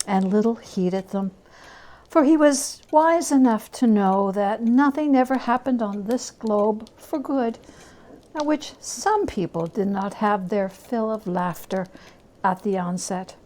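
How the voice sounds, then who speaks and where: A woman reading a story aloud as a dramatic narration, expressive and deliberate. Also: medium pitch, low-quality recording. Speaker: story reader.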